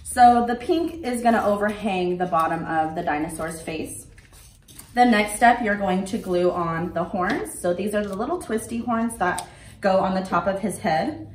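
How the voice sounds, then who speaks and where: A young woman giving clear, step-by-step craft instructions for children, standing at a table in an indoor room. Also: mid-range voice, normal speed, slight background noise. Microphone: camera at a distance. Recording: roomy, from a distance.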